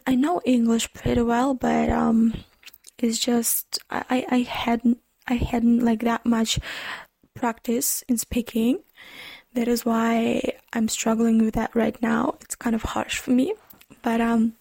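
A woman with a Ukrainian accent hosting her own podcast from her room, speaking gently and earnestly with many hesitant restarts. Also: a little high, vocal fry, slightly rough, no echo. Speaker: podcast host. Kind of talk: casual solo podcast talk.

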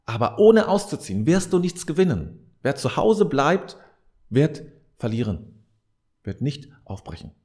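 A man's voice: on the high side, big pitch swings, measured pace, darkish tone. A man giving a reflective advice monologue as a podcast host, speaking straight to the listener in an earnest, preacher-like way and repeating key phrases for emphasis.

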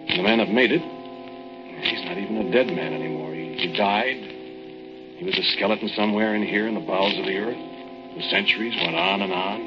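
A man's voice: deep voice, natural voice. An actor playing an easygoing man who muses about cavemen, in 1940s radio acting. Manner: casual, musing. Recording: dull old radio recording with music.

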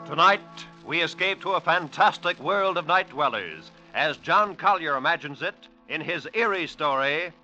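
A male announcer in dramatic 1940s radio style. His voice sits fairly high. Loud music plays behind him on a muffled old recording. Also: lively intonation, moderate pace, few pauses.

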